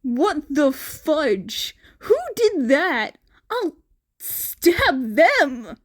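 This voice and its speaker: A woman playing a kind, low-key and slightly awkward teenage boy, a protective friend in an audio story. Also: big pitch swings, very slow, exaggerated voice. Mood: protective outrage. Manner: casual, understated, slightly awkward.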